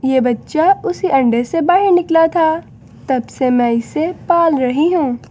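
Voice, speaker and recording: A woman's voice: high voice, unhurried, dark tone. A woman voicing a kindly, caring human grandmother in a cartoon story. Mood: earnest. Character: kindly human grandmother raising a bird chick.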